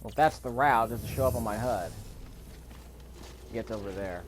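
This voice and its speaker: A man's voice: lowish voice, normal speed, slight background noise. A man giving casual, offhand let's play commentary, muttering to himself as he plays. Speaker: video game player recording commentary. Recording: game sound under the voice. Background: video game ambience.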